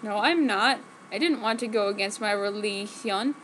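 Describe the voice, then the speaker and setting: A woman's voice: mid-range voice, moderate pace, a bit bright, close to an everyday voice, rough recording. A woman voicing an earnest, defensive young male vampire brother with solemn melodrama. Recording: noticeable background noise.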